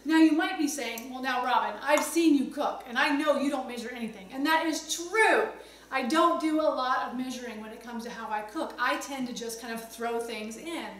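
A woman's voice: high voice, fairly bright tone. A middle-aged woman giving a casual, conversational cooking demonstration to camera in her home kitchen, explaining things with chatty asides. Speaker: cooking instructor, host. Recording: roomy echo, distant mic, some background noise.

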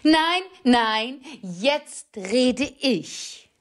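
A woman's voice: fairly high pitch, animated, extremely slow, fairly bright tone, exaggerated voice. A woman playing a bossy, scheming evil witch in an audio drama, with an imperious theatrical delivery. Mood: irritated, bossy.

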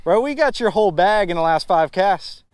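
A young man hosting a fishing vlog from a small boat on a lake, chatting casually, excitable and laughing, with friendly trash talk. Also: high-pitched, a little fast, clear, resonant tone. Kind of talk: casual chat while fishing.

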